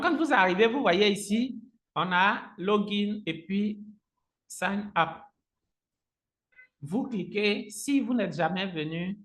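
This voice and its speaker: A man whose native language is Agni, teaching a live software tutorial step by step as an online trainer, often repeating his phrases. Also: high-pitched. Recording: roomy, echoing sound.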